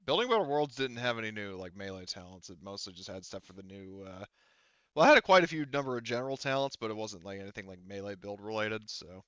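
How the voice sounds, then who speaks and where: A man's voice: on the low side, animated, fairly bright tone, smooth, dry recording, lo-fi sound. A man running a tabletop game as game master, talking casually and correcting himself as he explains the rules. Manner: halting, self-correcting explanations. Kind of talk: casual tabletop gaming chat.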